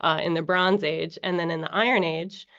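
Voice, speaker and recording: A woman's voice: on the low side, moderate pace, highs cut off. A woman from the United States with an American accent, a guest researcher explaining her work casually with lots of fillers and restarts over a video call. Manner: relaxed, many fillers and restarts.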